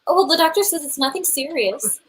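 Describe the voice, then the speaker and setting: A woman acting as a cheerful, patient wife in a radio drama. Her voice is high, chatty and soothing, with some faint noise behind it. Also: animated, a little fast. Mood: concerned, polite. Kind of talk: live radio play reading. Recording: noticeable faint background noise.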